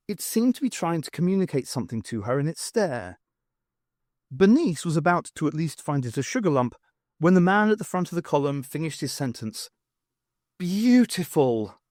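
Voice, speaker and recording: Male fiction narrator, slightly high voice, animated delivery, dry recording. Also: no room sound. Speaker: audiobook narrator.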